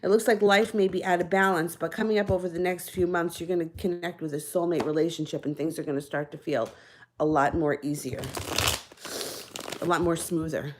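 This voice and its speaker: A woman's voice: lowish voice, steady tone, a little fast, a little hiss or hum. A woman giving live-stream tarot readings in a casual, chatty, reassuring way, a little out of breath and slightly nasal from congestion. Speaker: tarot card reader.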